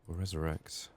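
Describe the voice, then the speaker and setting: A soft-spoken man with a sick, strained voice, muttering downbeat, sweary ASMR commentary as he plays a video game. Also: low voice, a little hiss or hum.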